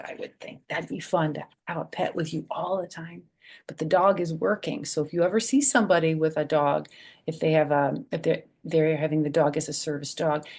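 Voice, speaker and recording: A woman hosting a children's storytime, reading a picture book aloud and adding chatty, simple explanations for young listeners, with a husky, creaky voice. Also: sits low, darkish tone.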